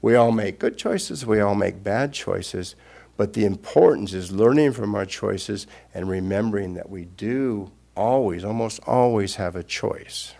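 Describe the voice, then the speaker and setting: An older man, a Buddhist teacher, giving an unscripted Dharma talk in a gentle, reflective way with pauses. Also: on the low side, vocal fry.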